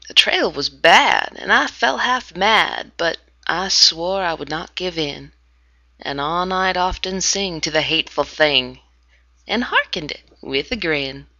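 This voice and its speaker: A woman reading a narrative poem aloud, dramatic, with hushed whispered passages. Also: on the low side, vocal fry, no room sound, dull audio. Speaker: amateur reader.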